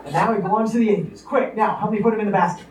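A man's voice: high-pitched, fast talker, lightly acted. Man acting scheming young son, echoey stage. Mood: flustered. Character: scheming, smooth-talking young son, newly married. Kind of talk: live radio play acting. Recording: echoey, distant stage recording with noise.

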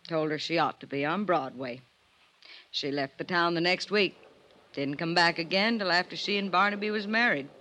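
An actress playing a resentful, folksy spinster housekeeper with clipped, sardonic talk in an old-time radio drama. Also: female voice, lowish voice, normal speed, pushed and bright, low-quality recording. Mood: bitter, resentful.

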